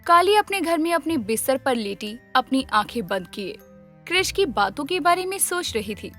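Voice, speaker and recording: A woman giving scripted storybook narration as the narrator of an animated tale. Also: sits high, moderate pace, soft background music.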